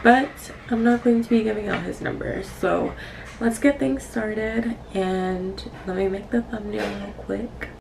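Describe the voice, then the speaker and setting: Gravelly young woman vlogger, noisy distant mic. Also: mid-range voice, a little slow. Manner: rambling, candid, apologetic. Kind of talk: casual chat to viewers.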